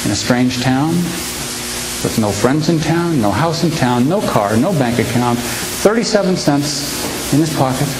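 A man in his fifties giving a humorous speech to a live audience, telling stories with timed pauses and punchlines. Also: mid-range voice, animated. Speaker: humorist speaker. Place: large hall with an audience. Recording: echoey hall recording with hiss.

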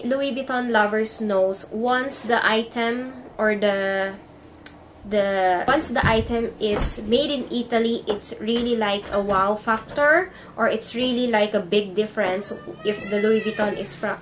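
Filipino accented young woman vlogging in her bedroom, slow and chatty, hunts for english words, faint hiss behind. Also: on the high side. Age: young adult. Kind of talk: casual haul vlog. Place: bedroom indoors.

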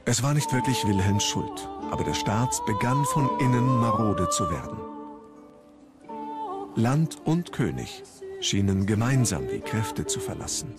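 A man's voice: mid-range voice, wildly swooping pitch, unhurried, loud music behind the voice. A man reading scripted documentary narration as the narrator of a history programme.

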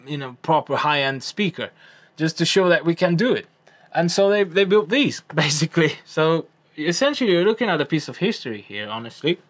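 A young man with a fairly high voice talks casually through technical details as a video presenter. His delivery is unscripted and halting, with repeated words and frequent self-corrections. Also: fairly bright tone. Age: young adult. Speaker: presenter of an audio gear video. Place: indoors, room at home.

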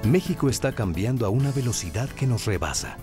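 Expressive Mexican male narrator over music. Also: mid-range voice, fairly quick. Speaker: documentary narrator. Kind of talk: scripted narration. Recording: music bed under narration.

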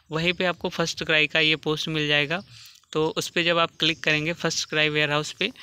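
A man's voice: on the high side, bright, forceful tone, clear, resonant tone. A man hosting a job vacancy channel, reading through a listing on screen and explaining it in plain terms with the odd "uh" filler. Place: voice-over over a phone screen recording.

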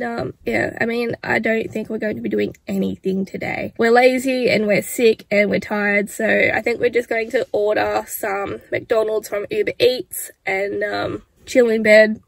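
Sick young woman vlogging, all blocked nose and nasal, kinda gravelly fry, lots of um and like. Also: fairly high pitch, a bit bright. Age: young adult. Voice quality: nasal, congested. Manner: chatty, rambling, many fillers like "um" and "I mean, like". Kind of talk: casual to-camera vlog talk. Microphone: camera close up at arm's length.

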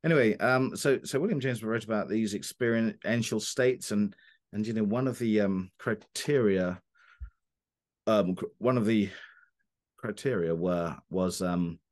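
A man, a philosopher answering interview questions over a video call from his book-lined study, halting with many fillers and restarts. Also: fairly low pitch, darkish tone. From Cornwall, England. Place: home study lined with books. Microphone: video call webcam.

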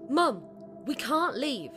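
A woman's voice: sits high, big pitch swings, slow, background noise, exaggerated voice. A woman playing a stubborn, sceptical daughter in an audio drama. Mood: defiant.

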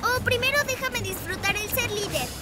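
A woman's voice: very high voice, normal speed. A woman with a Latin American Spanish accent voicing an eager, earnest little girl superhero in a children's cartoon dub. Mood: excited. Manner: earnest, expressive. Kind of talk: animated children's series dub. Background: music.

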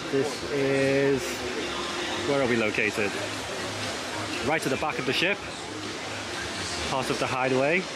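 A man's voice: medium pitch, a little slow, long pauses, heavy background noise. A young man chatting casually to the camera as a vlogger. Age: young adult. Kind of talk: casual vlog chat.

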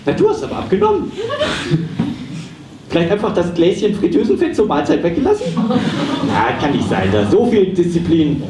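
A man plays a frantic, muddled psychotherapist in a live comedy radio play. The voice is high and jumps all over as he rants and stammers. It is picked up from far off with clear noise. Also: sing-song, normal speed, long pauses. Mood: muddled, excitable. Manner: ranting, stammering, exclamatory. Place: small dark theatre stage, live. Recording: echoey, noisy stage recording from far off.

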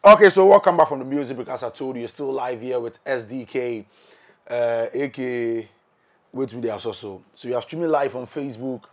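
A man's voice: medium pitch, fairly quick. A young Ghanaian man hosting a casual interview, playful and bantering, slipping into pidgin. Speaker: interview host. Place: small recording studio indoors.

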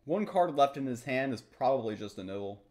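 A man's voice: mid-range voice, expressive, brisk, darkish tone. Young man thinking aloud over a game. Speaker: streamer, card game player. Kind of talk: live gameplay commentary. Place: small room at home, indoors.

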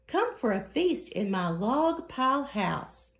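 Sly, cowardly storybook snake woman reader, high and coaxing, drawn-out words, roomy sound. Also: sing-song, full character voice. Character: sly snake, falsely friendly, easily scared.

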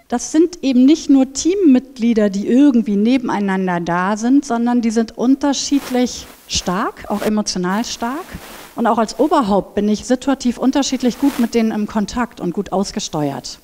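A woman in her thirties on a headset mic, giving a lively conference talk and acting out inner voices. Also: fairly high pitch, normal speed. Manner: animated, acts out inner voices. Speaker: speaker at a conference. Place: large brick hall with seated audience. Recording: reverberant hall.

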